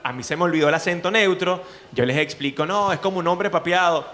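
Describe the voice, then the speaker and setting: Venezuelan male guest speaker; higher-pitched, bright, animated, keeps asking the room questions. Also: a little fast. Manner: animated, asks frequent rhetorical questions. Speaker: journalist and speaking coach, guest. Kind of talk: lively talk to students.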